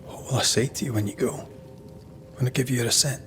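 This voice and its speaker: An actor playing a grieving, remorseful ghost hunter in a video game, hushed, hesitant and earnest. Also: male voice, mid-range voice, fairly even intonation, quick pace, soft background music, close to an everyday voice. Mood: uneasy. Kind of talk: dramatic video game acting.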